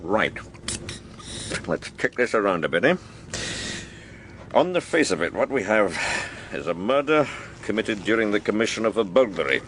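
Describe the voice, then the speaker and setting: An actor playing a senior male detective leading his team in a radio crime drama, brisk, questioning and authoritative. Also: lowish voice, big pitch swings, slight background noise. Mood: authoritative, inquiring.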